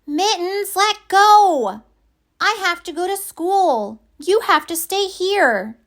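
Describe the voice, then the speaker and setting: High-pitched storybook dinosaur girl, young, exasperated and dramatic, acted out by a woman reader, swooping and loud. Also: expressive, slow pace, full character voice. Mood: pleading. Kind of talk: picture book read-aloud.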